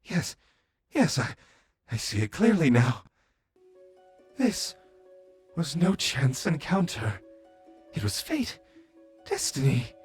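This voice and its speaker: Fanatical moon-cult villain: high-pitched for a man, slow and grandiose, fantasy audio drama with music underneath. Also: very animated, breathy edge, heavily acted. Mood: ominous wonder. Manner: grandiose, preaching, drawn-out dramatic delivery. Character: mad zealous male servant of a moon goddess, theatrical villain. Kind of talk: scripted fantasy audio roleplay.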